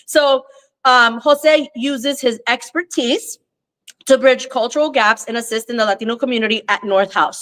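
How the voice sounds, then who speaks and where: A woman hosting a live interview at a co-working space, chatty and effusive, with a voice that is going hoarse and breaking into coughs. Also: fairly high pitch, fairly bright tone. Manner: chatty, effusive, restarts often. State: losing her voice, coughing. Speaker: host, consulting CEO.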